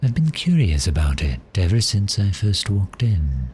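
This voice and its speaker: A man playing a predatory vampire in an intimate ASMR roleplay, teasing and menacingly gentle, with a breathy, half-whispered voice. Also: low-pitched, big pitch swings, full character voice. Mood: teasing, amused. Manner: slow, teasing, menacingly gentle, lingering pauses. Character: cheerful, predatory male vampire, silkily toying with prey.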